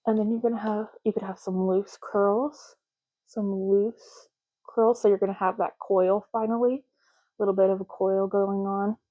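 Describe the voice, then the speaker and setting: A woman doing a soft-spoken ASMR roleplay, talking slowly and casually and often repeating words in a deliberate way. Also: mid-range voice, little pitch movement, phone-quality sound.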